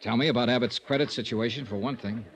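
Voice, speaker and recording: An actor playing a self-assured insurance investigator in a 1950s radio detective drama, speaking in a clipped, dry, sardonic way. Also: male voice, mid-range voice, fast talker, lightly acted. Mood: businesslike. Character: hard-boiled wry male insurance investigator, self-assured. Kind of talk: 1950s radio detective drama acting and first-person narration.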